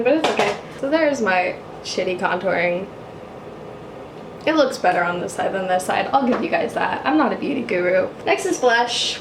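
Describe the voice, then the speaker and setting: A young woman with a low, husky voice chatting casually while doing her makeup, self-mocking and swearing offhandedly. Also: echoey room, background noise. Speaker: YouTuber doing a makeup tutorial. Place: apartment room.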